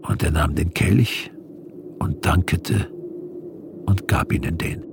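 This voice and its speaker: An actor playing a solemn gospel narrator in a German radio drama, telling scripture in a grave, measured way. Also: male voice, low-pitched, background noise.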